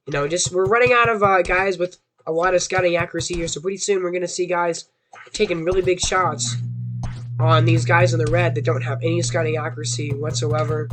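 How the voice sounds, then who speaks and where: Young male gamer, hoarse and high-voiced, rambling and self-doubting; light background noise. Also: a bit bright. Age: young adult. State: hoarse from a sore throat. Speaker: gaming YouTuber. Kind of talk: casual rambling commentary.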